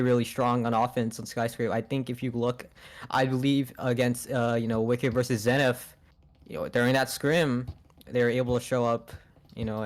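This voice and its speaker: A man co-casting an esports match live as his voice wears out, rambling and filling gaps with "you know". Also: medium pitch. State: losing his voice. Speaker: esports co-caster.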